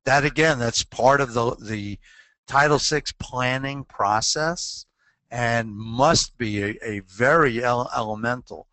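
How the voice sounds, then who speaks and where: A man's voice: mid-range voice, slow pace, bright, forceful tone, no room sound. A man presenting a webinar on legal compliance, answering a question earnestly and posing rhetorical questions.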